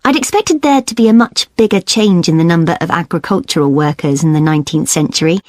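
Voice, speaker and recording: A woman's voice: fairly low pitch, fast, darkish tone, no echo, clean studio sound, close to an everyday voice. A woman playing a keen, agreeable student in a scripted study discussion. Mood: engaged. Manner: engaged, agreeable.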